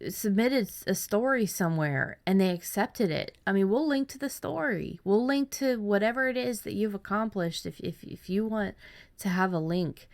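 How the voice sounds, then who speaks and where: A woman hosting a writing podcast in casual chat, talking in quick bursts with many restarts and fillers. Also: medium pitch. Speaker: podcast host.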